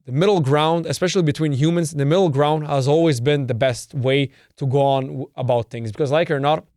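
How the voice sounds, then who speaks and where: Man, Serbian mother tongue, fairly high voice, dry close sound, emphatic and repetitive, swears. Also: a little fast, darkish tone, no room sound. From Canada. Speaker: podcast host. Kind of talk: solo opinion monologue.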